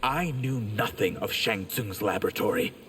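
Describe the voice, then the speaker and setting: An actor playing a haughty, defensive male high mage in a fighting game, speaking in measured tones. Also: a bit bright, a little hiss or hum, lightly acted. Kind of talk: video game fight intro dialogue.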